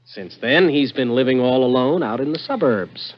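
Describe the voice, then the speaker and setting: A man's voice: mid-range voice, expressive, moderate pace, close to an everyday voice. An actor playing a shrewd, persistent investigator who tells his story dryly in an old-time radio drama. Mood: dry, brisk. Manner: dry, confident, persistent. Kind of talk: old-time radio detective narration and dialogue. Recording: muffled vintage radio recording.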